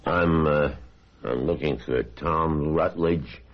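An actor playing a polite but sly army veteran in a screen drama, hesitant and folksy in manner. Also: male voice, a bass voice, takes it slowly, dull audio, noisy background. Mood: friendly, awkward.

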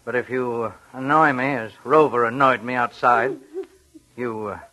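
A man playing a menacing intruder in a radio suspense drama, speaking with formal mock courtesy and hesitant pauses. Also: mid-range voice, steady tone, bright, forceful tone, lightly acted. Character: menacing, mannered, scarred male intruder posing as guest. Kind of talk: old-time radio suspense drama acting.

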